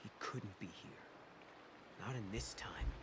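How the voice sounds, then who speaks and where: An actor voicing an earnest, troubled young hero in a video game. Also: male voice, lowish voice, a little slow, very noisy, close to an everyday voice. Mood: doubtful. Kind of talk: video game cutscene acting. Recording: loud game music behind.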